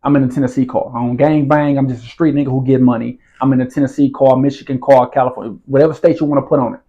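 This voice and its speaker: A man's voice: medium pitch, steady tone, rapid-fire, mellow, highs cut off. A man, telling his story as an interview guest in casual street slang and often asking whether the listener follows. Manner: streetwise slang, repeats "you feel what I'm saying".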